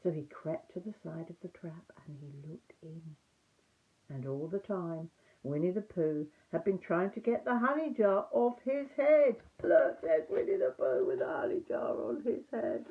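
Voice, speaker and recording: An older woman reading a children's story aloud in an expressive, emphatic storytime manner. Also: fairly low pitch, a little slow, narrow, tinny audio. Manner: animated, emphatic reading for young listeners.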